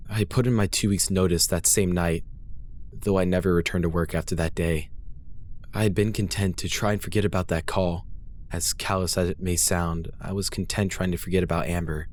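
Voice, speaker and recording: A man's voice: low voice, a little hiss or hum. A man reading a first-person horror story as a dramatic scripted narration, grave and intense.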